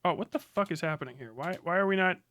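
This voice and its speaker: A man's voice: fairly high pitch, lively intonation, fast talker, fairly bright tone, dry recording. A man livestreaming sarcastic, profane off-the-cuff commentary in a mocking, exclamatory way. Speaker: livestream host.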